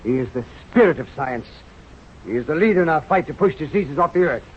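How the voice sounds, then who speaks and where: Expansive, grandiose scientist and beer lover, male actor, highish voice, vintage radio drama with dulled sound. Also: expressive, fast talker, slight background noise, natural voice. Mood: admiring, grand. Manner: grandiose, exclamatory. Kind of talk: 1930s-40s radio drama acting. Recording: muffled old radio recording, faint music.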